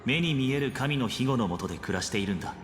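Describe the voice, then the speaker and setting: Male actor as stern game warrior, blunt, very rapid, music underneath. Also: mid-range voice, expressive, fairly bright tone, close to an everyday voice. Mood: grave. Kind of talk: Japanese game voice acting.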